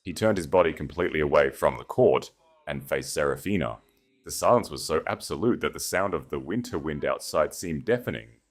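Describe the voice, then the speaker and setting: A man narrates scripted dramatic fiction in a very deep voice. His delivery is measured and solemn, with a theatrical weight to the phrasing. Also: expressive.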